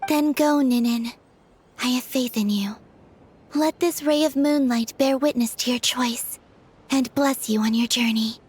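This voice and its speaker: A woman playing a calm, supportive, graceful young woman in a video game, speaking in a gentle, poetic way. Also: a little high, a little slow, husky. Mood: reassuring.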